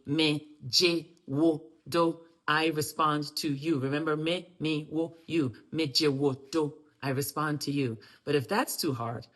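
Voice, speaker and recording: Woman, language teacher, patient, repeats slowly, lively loud emphasis. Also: low-pitched, slightly rough. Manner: patient, repeats phrases slowly for learners to echo. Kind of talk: friendly language lesson to camera.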